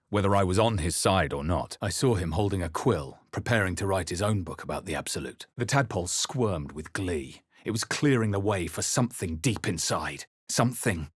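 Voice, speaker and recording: An actor playing a guarded adventurer hero in a video game, speaking and narrating in a husky, measured, brooding way. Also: male voice, low voice, no room sound, studio quality. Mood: solemn, intent. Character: male adventurer hero, deep-voiced, grave and guarded, player character. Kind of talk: dramatic video game voice lines and first-person narration.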